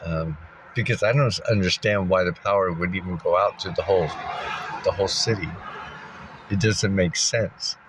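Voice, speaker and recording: A man with a very low voice talks on in a loose, wandering monologue, full of ums and restarts. He sounds congested from dust and clears his throat now and then. Faint background ambience sits under the recording. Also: moderate pace, background noise. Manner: meandering, thinks aloud with many fillers. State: congested, coughing. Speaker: vlogger. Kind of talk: rambling casual monologue. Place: sitting in a dusty spot, maybe in a car.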